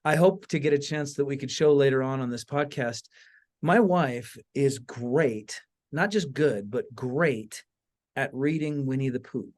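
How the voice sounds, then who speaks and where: A man's voice: mid-range voice, measured pace, a little mellow. A man hosting a podcast in a casual, conversational style, informal and enthusiastic. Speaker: podcast host.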